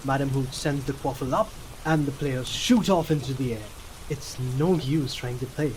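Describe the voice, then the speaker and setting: Male narrator for audio drama: mid-pitched, scripted storytelling, with clear background noise of rain and thunder. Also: moderate pace, a little mellow. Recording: noisy, rain and thunder effects behind.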